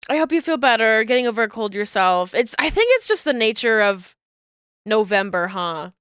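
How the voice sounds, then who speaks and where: A woman's voice: medium pitch, pushed and bright, clear, resonant tone, no echo, clean studio sound. A woman streamer with a cold, sounding congested, chatting casually and rambling before playing a game. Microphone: desk microphone.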